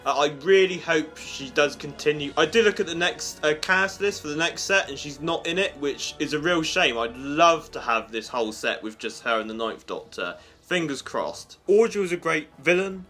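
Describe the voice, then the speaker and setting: Bright-voiced, enthusiastic man reviewing. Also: fairly high pitch. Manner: enthusiastic, chatty, informal. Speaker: YouTube reviewer and host. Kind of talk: casual review of an audio drama, spoken to viewers. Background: music under the voice.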